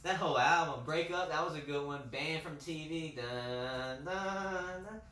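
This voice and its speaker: A man co-hosting a casual podcast chat, loud and swearing freely, sometimes rapping along. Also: a little high, a little slow, reverberant, distant mic, slight background noise. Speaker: podcast co-host.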